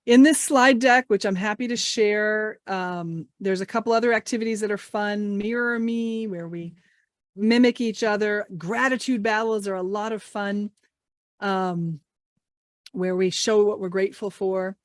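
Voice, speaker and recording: A woman's voice: medium pitch, fairly even intonation, measured pace, no echo, studio quality. A woman trainer leading an online workshop, upbeat and encouraging, asking the group many questions. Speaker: English language trainer. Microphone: video call mic.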